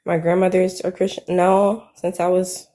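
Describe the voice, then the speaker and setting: A woman in her twenties calling into a live stream, speaking softly and hesitantly with a youthful-sounding voice. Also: on the low side, flat delivery, normal speed. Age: 20s. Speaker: caller. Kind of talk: casual live stream conversation.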